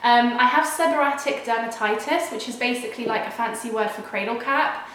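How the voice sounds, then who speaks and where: A young woman chatting to camera at home with a nasal, under-the-weather sound, her voice sitting a little high. She rambles casually, repeating words and restarting sentences. The room around her is very echoey. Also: fairly quick, fairly bright tone. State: unwell with a cold. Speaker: vlogger.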